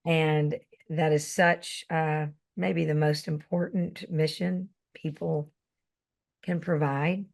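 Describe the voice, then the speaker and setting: A woman's voice: low voice, little pitch movement, slow, vocal fry. A woman hosting an interview podcast, thanking her guest earnestly and asking him to share his story. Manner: earnest, warmly appreciative phrasing. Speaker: podcast host. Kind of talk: interview questions.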